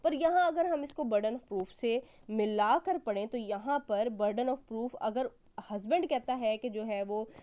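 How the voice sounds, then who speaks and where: A woman's voice: a little high, warm tone. A woman giving an explanatory law lecture, going step by step in a didactic, repetitive way with frequent check words. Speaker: law teacher. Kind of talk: explanatory lecture, reading legal text and explaining it.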